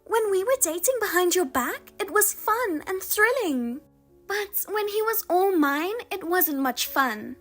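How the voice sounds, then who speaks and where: A woman plays a husband-stealing younger sister in a voiced drama, smug and taunting, her high voice leaping all over as she drags out her words. Music plays underneath her. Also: animated, fairly bright tone, full character voice. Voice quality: high and sing-song, taunting. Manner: mocking, self-satisfied, drawn-out teasing.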